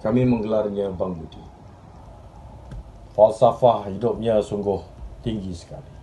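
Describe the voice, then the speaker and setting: A man acting an earnest, restless journalist in a dramatic stage monologue, speaking in deliberate literary phrases with pauses. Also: on the low side, steady tone, mellow, a little hiss or hum, close to an everyday voice. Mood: earnest, admiring. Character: earnest, restless male journalist reminiscing about his admired mentor. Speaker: stage actor. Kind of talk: theatrical monologue in Malay drama.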